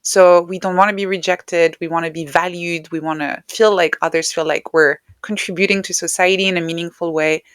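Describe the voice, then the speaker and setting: A woman who is not a native English speaker talks as a podcast guest, in a reflective, conversational way. Her voice sits a little low for a woman. The sound is very dry, with no room around it. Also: steady tone, fairly quick, few pauses, smooth, no echo. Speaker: podcast guest, writer and neuroscientist.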